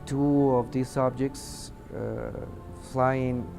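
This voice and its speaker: A man with a Mexican accent, a native Spanish speaker, giving testimony in an interview and haltingly searching for words in his second language. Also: medium pitch, steady tone, vocal fry, over music. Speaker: air traffic controller giving testimony. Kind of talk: interview testimony, unscripted recounting.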